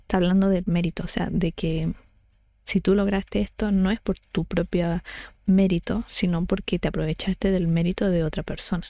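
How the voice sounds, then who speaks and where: A woman's voice: fairly low pitch, fairly even intonation, normal speed, warm tone, dry recording. A woman chatting casually as she explains things, thinking aloud and correcting herself in an informal way. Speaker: book study vlogger.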